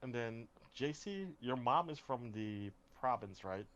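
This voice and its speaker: A young man hosting a casual podcast chat, asking questions in halting restarts. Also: medium pitch, animated, a bit bright, muffled recording, a little hiss or hum. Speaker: podcast host.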